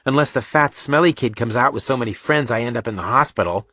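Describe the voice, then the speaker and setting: A man's voice: medium pitch, fast talker, bright, forceful tone, voice pushed up. A man voicing a cocky, defiant young boy in audiobook dialogue, blunt and argumentative. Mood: matter-of-fact.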